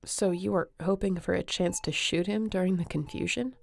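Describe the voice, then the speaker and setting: A woman playing a tired, tender, worried young woman in an intimate sci-fi audio roleplay, speaking softly and earnestly. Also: lowish voice, steady tone, normal speed, creaky.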